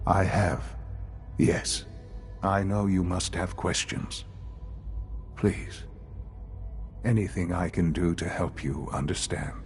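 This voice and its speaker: Game role: aged male science director, low-pitched, calm, formal and grave, music underneath. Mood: solemn, reassuring. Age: older. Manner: measured, formal, gravely earnest. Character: elderly calm male leader of secretive science institute. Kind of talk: video game dialogue acting.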